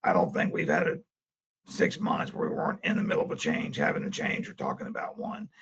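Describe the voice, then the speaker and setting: Raspy gravelly guy presenting a webinar, super low and breathy, dull lo-fi audio. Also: a little fast, fairly bright tone, slight background noise. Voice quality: raspy, strained voice. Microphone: webinar call.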